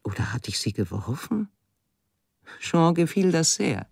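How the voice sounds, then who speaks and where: A woman's voice: low-pitched, sing-song, unhurried, gravelly, darkish tone, slightly rough, no room sound, clean studio sound, full character voice. An actress playing a woman artist who recalls her work and life with intensity in a radio drama monologue. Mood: reflective.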